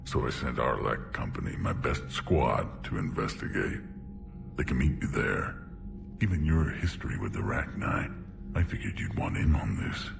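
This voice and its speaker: An actor voicing a huge, proud, menacing alien clan leader in a video game, with a gravelly rumble and a blunt, domineering delivery. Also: male voice, lively intonation, normal speed, over music, full character voice. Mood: gruff, businesslike. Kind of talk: video game cutscene acting.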